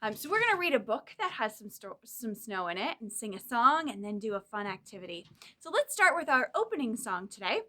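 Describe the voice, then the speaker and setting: Very bright, high, animated woman for children. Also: brisk. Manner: animated, encouraging, speaks directly to young children. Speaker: children's librarian leading storytime. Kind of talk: children's storytime with singing and reading aloud.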